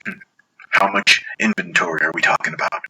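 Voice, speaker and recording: Man acting a low-voiced sardonic military contact. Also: brisk, breathy edge, exaggerated voice, poor audio. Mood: wry, grudging. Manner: dry, teasing, clipped. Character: wry, sardonic male military quartermaster friend on radio. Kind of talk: dramatic audiobook dialogue. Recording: loud music behind the voice.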